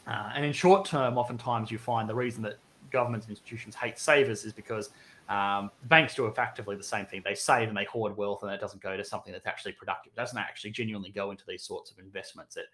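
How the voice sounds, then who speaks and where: Expressive man talking things through. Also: fairly low pitch, quick pace, rough recording. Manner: conversational, acts out hypothetical speakers. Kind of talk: casual economics discussion.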